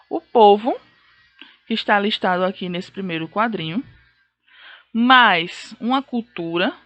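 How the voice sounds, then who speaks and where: A woman's voice: mid-range voice, fairly bright tone, no echo. A woman with a Brazilian accent giving a recorded history lesson, explaining in a didactic way and repeating key points. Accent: Brazilian Portuguese. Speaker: teacher.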